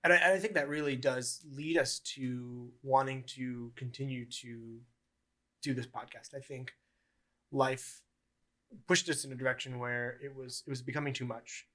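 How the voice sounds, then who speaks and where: A young man in his early twenties with a bright, clear voice talks in a relaxed, rambling way on a casual podcast with friends. He fills his pauses with ums and drifts between thoughts. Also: medium pitch. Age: early 20s. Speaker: podcast co-host.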